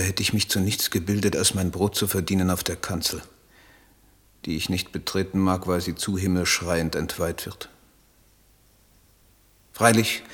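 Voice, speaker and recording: An actor playing an idealistic, troubled German poet, speaking in a solemn, declamatory radio drama manner. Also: male voice, low-pitched. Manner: solemn, declamatory, elevated diction. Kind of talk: literary radio drama monologue.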